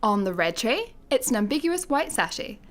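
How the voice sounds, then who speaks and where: An actress playing an exasperated, sarcastic space janitor in a comedy radio play, wry and articulate. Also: female voice, a little high, sing-song, normal speed, fairly bright tone. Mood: exasperated, dry.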